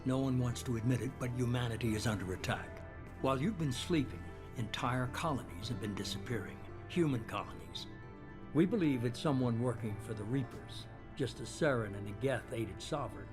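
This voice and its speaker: Casting: male actor as calculating game mastermind, measured and persuasive, mid-range voice, music underneath. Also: mid-range voice, lightly acted. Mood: grave, persuasive. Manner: measured, persuasive, self-assured. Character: smooth, calculating male leader of a secretive organization. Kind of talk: video game cutscene acting. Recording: music behind the voice.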